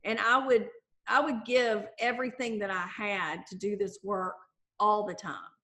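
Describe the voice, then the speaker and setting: A middle-aged woman author talking in a conversational interview, lively and acting out the voices of the people she quotes. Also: medium pitch, a little slow. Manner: animated, acts out quoted voices. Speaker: author and guest.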